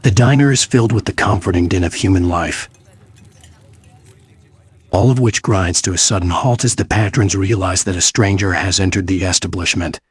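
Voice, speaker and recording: A man's voice: deep voice, moderate pace, husky, no echo, clean studio sound. A man reading scripted, descriptive and wry second-person narration for a visual novel.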